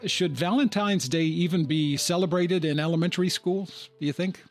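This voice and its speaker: A man's voice: on the high side, moderate pace. An older man hosting a podcast, reading scripted narration. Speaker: podcast host. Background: guitar music.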